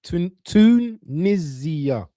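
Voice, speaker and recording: Male football stream host, dark relaxed tone, dry recording, informal running commentary. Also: fairly high pitch, extremely slow, no room sound. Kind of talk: casual live match commentary and chat.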